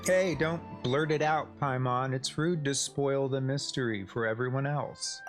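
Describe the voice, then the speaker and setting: A woman's voice: rumbling low, a little slow. A woman playing a composed young traveler in a video game, speaking in a dry, understated, mildly scolding way. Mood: dry, reproving. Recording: loud game music behind voice.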